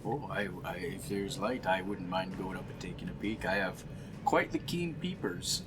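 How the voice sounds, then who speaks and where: A man's voice: deep voice, expressive, normal speed, music in the background. A man chatting casually as a player in a tabletop roleplaying game, improvising his character's moves. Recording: clearly noisy room sound.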